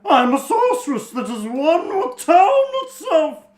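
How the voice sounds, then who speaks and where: A woman voicing a grand, flighty, ageless sorceress teacher with a dramatic, drawn-out delivery. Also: sits high, sing-song, darkish tone, pitched above the performer's own voice. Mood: grand, theatrical. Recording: roomy, echoey home recording.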